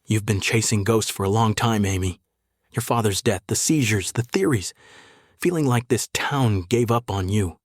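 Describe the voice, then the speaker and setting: An actor playing a middle-aged sheriff in a noir audio drama, a patient interrogator who is measured, terse and probing. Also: male voice, on the low side, no echo, studio quality, natural voice. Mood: quietly menacing. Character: male small-town sheriff, calm, patient, steely interrogator.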